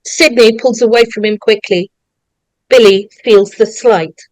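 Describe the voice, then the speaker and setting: Female narrator for screenplay directions; medium pitch, unhurried pace, dry close sound. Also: steady tone, darkish tone, no room sound, poor audio.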